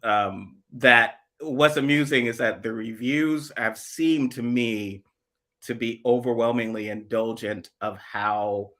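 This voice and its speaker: A man co-hosting a casual chat show, speaking in a dry, musing way that often trails off quietly. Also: medium pitch, slow pace. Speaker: co-host.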